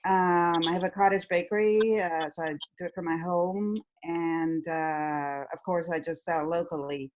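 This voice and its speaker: A woman's voice: fairly low pitch, fairly even intonation, unhurried, hardly any pauses, muffled recording, slight background noise. Woman, hesitant video-call chat. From Venezuela. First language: Spanish. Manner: hesitant, many fillers and restarts. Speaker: guest cookie baker.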